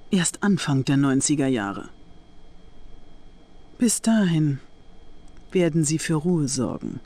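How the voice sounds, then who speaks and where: A young Soviet officer in a game cutscene. She is cold and scheming, with a stern, deliberate delivery and the air of a conspirator. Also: lowish voice, darkish tone. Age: young adult. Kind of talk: video game cutscene acting.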